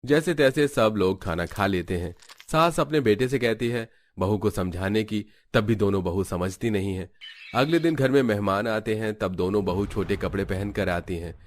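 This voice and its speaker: A man's voice: on the low side, normal speed. A man reading scripted narration for a cartoon story. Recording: music under the voice. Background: background music.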